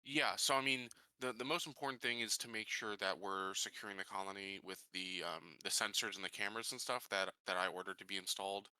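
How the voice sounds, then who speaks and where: A man playing a tabletop roleplaying game, talking casually in halting, piecemeal phrases. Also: on the low side, bright, forceful tone, clear, resonant tone, no echo, poor audio.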